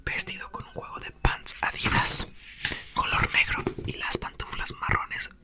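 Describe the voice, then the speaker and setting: A man narrates a storybook entirely in a whisper, in the style of an ASMR reading. The sound is breathy and bright, with sharp detail in each word. His delivery stays soft, intimate and expressive. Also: unhurried. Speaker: ASMR creator reading a book aloud.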